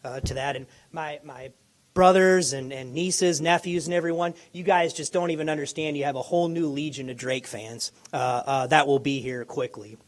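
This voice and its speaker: A man from Minneapolis, a college basketball coach giving a press conference speech with a hoarse, worn voice. He talks eagerly into a microphone, stumbling and restarting his sentences. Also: on the high side, steady tone. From Minneapolis, USA. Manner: eager, halting, many restarts. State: hoarse, lost voice. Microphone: handheld or podium microphone.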